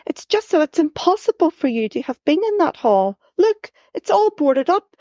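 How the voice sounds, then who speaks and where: Worried, loving mother, read by a woman storyteller, pitched up, dry close sound. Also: very animated, normal speed, dry recording. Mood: pleading. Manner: pleading, rising to upset shouting.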